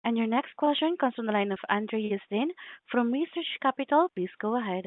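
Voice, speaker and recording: A woman's voice: on the high side, expressive, fairly quick, no room sound. A woman working as conference call operator, reading scripted announcements. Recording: dry tinny phone line.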